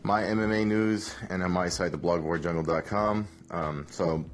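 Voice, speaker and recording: A man, hungover, hosting a casual solo podcast recap in a relaxed, rambling way with many fillers. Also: low voice, moderate pace, soft background music. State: hungover, rough voice. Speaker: podcast host, MMA analyst.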